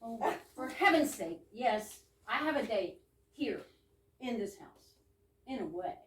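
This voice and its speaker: High, swooping, exasperated older sister, acted by a woman. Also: expressive, takes it slowly. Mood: huffy, evasive. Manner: indignant, huffy. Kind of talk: amateur stage readers theater, 1920s comedy. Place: live reading in a roomy indoor space. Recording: echoey room, distant mic.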